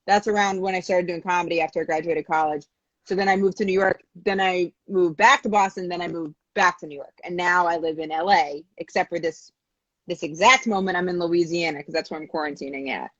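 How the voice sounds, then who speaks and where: A young adult woman from Maine chatting casually on a video call. Her voice sits a little low and comes through dull, lo-fi phone audio. She rambles, gets sidetracked and restarts her sentences. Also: fairly even intonation, brisk, fairly bright tone, no room sound. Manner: rambling, easily distracted, self-correcting. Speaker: comedian guest. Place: indoors at home.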